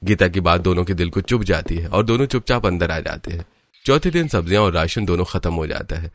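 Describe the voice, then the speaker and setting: A man's voice: low voice, lively intonation, a little fast, dry recording. A man narrating a scripted cartoon story. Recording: music behind the voice. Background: background music.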